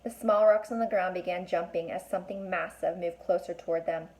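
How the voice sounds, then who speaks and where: A woman's voice: fairly low pitch, fairly even intonation, a little fast, a little mellow, poor audio. A woman reading a children's book aloud as a teacher would, stopping to explain and put questions to young listeners.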